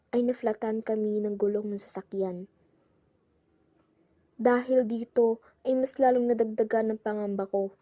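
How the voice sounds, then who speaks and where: A young woman plays an anxious, cautious narrator in a student radio drama. Her voice is fairly high and very mellow, earnest in the telling, on a dry, slightly dull recording. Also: no room sound, close to an everyday voice. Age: young adult. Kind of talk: scripted first-person radio drama narration.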